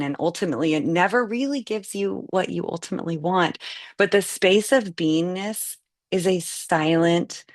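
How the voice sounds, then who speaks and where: A woman with a lowish voice and plenty of vocal fry talks a group through a meditation. Her instructions come slow and gentle, and the sound is dry and close, with no room around it. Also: dry recording. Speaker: meditation guide. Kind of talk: live guided meditation.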